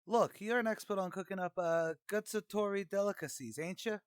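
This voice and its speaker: A man's voice: high voice, fairly quick, smooth, no room sound, clean studio sound, lightly acted. A man playing a scheming, wisecracking tavern manager in an old-time radio comedy, talking in streetwise slang. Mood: blustering salesman.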